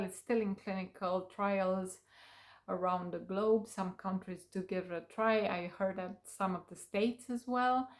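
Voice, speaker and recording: A woman whose native language is Romanian, speaking English in an unhurried, casual way to her viewers and pausing often with fillers. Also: mid-range voice. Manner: unhurried, with many fillers and pauses. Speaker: vlogger. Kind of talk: casual talk to camera.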